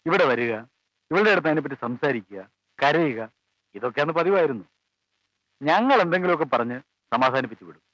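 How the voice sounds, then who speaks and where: A calm, quick-spoken family friend. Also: male voice, on the high side, dry recording. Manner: calm, explanatory. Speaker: family friend recounting events. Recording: dull, dry 1980s film soundtrack.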